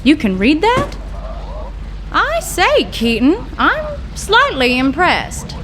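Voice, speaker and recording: A woman's voice: very high voice, wildly swooping pitch, slow, a bit bright, a little hiss or hum, exaggerated voice. An actress playing a blunt, sarcastic young woman investigating her scientist father's lab, in old-time radio drama style. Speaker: scientist's daughter. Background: music.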